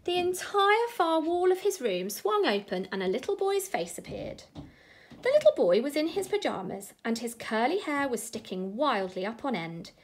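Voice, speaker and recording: A woman reading a children's bedtime story aloud in an expressive narrating style. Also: sits high, normal speed.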